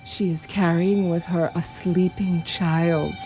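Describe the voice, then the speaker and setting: Commanding older professor and vampire hunter for radio drama, played by a woman: deliberate, unhurried, solemn, oddly ordered formal phrases, faint music underneath. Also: on the low side, steady tone, mellow. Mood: grave, instructive. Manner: formal, deliberate, inverted foreign-style phrasing.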